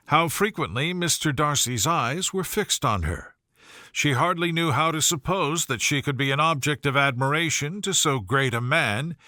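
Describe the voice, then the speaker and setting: A male audiobook narrator reads classic fiction with measured, formal phrasing. His voice is fairly high and bright, with a very lively melody that carries the storytelling. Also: no room sound, studio quality.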